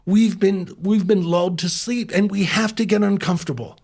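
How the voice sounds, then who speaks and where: An impassioned man in a sit-down conversation. He presses his points hard, circles back and restarts sentences as he builds. His voice sits a little high for a man. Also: fairly even intonation, normal speed, creaky, a little mellow. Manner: impassioned, emphatic, with frequent restarts. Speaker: interview guest, former chef turned humanitarian. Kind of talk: conversational interview.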